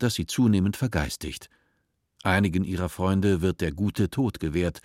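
A man narrating a radio play in a calm, measured way. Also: low voice, no room sound, studio quality. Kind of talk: scripted narration.

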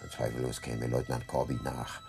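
An actor playing a sullen, defiant, bookish murder suspect in a radio drama, curt and dismissive in manner. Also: male voice, rumbling low, little pitch movement, normal speed, gravelly, over music. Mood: brooding. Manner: curt, dismissive, formal wording.

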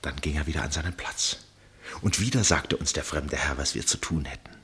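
The storyteller of a radio play, a keen observer relating a tense contest. His voice is very low and husky, and he builds suspense with pauses and drops to a hush at the ends of phrases. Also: heavily acted. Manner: suspenseful, dramatic pauses and hushed phrase endings. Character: male storyteller, keen fascinated observer of a chess match. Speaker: narrator. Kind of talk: literary radio play narration.

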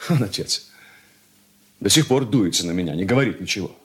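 A weary young merchant's son from a Soviet radio play. His voice is husky and very animated in pitch, moving between impatient dismissal and pleading. He sounds irritable and evasive. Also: a little fast, exaggerated voice. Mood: weary, upset.

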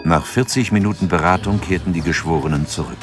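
A man's voice: sits low, quick pace, over loud music, close to an everyday voice. An actor as the sober male narrator of a true-crime radio drama, factual and restrained.

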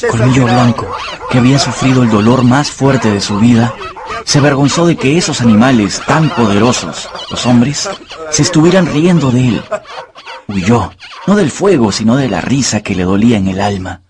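Male narrator for dramatized audiobook, average register, expressive, measured delivery. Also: medium pitch, crowd noise.

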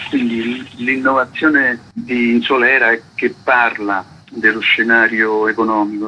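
Very bright, forceful low-pitched man. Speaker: urban planner, interview guest. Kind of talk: explanatory radio interview. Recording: faintly noisy radio interview line.

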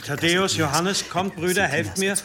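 An actor playing a commanding, secretive abbot in a radio drama, with an authoritative, urgent manner. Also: male voice, high voice, steady tone, normal speed, background noise, close to an everyday voice. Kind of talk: German radio drama acting. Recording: studio radio drama with music underneath.

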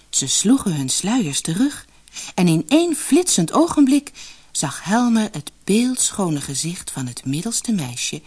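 A woman narrating a fairy tale for an audiobook in an expressive, dramatic storytelling manner. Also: fairly low pitch, husky.

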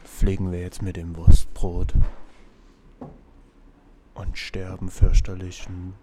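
A man plays a gloomy, deadpan oddball in an audio play. He speaks slowly in a lowish voice, over loud background noise. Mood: deadpan, musing. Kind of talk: German audio play acting. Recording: very noisy background.

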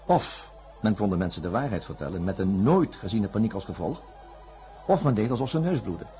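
Anxious male doctor, grave, conscientious, halting under strain, science fiction radio play, faint music. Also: on the low side, very animated, warm tone. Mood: grave, reflective. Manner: grave, halting when agitated. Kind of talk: science fiction radio drama with first-person narration.

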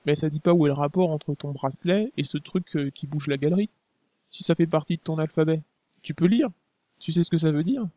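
A man's voice: on the high side, fairly quick, no echo, lo-fi sound, lightly acted. A man voicing a young male resistance fighter who talks in loose slang with frequent fillers. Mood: casual. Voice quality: casual slang, hesitant fillers.

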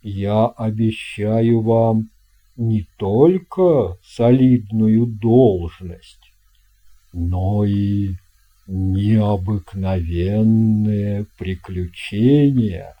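A man voicing a courteous, dignified steamship captain in an audio storybook, speaking in a formal, measured way. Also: fairly low pitch, muffled recording, pitched below the performer's own voice. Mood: courteous, inviting. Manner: formal, measured, weighty delivery.

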